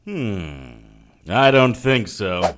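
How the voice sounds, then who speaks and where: An actor playing a tough, sardonic 1940s detective hero in an audio drama, tossing off hardboiled quips. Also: male voice, lowish voice, very animated, very slow, fairly bright tone, heavily acted. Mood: defiant, threatening. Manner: wry, hardboiled, offhand quips. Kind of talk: full-cast period audio drama acting.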